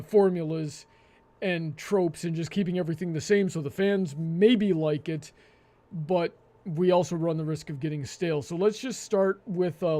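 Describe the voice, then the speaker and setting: A man hosting a live panel discussion, with a hesitant, halting delivery that often stammers and repeats words. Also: fairly high pitch, dark tone. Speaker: host.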